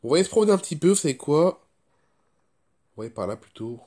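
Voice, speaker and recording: A man's voice: on the high side, very animated, fast talker, dark tone. Congested man vlogging with a cold. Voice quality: stuffy-nosed voice. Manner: rambling, repeats himself, frequent throat clearing. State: congested with a head cold, sore throat. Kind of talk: casual first-person vlog chat.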